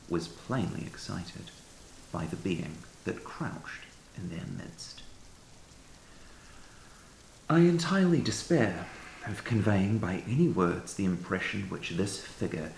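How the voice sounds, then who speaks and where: A man reading a literary ghost story as a narrator, in a measured, formal storytelling manner. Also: low-pitched, creaky. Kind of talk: scripted literary audiobook narration. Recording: echoey room with faint hiss.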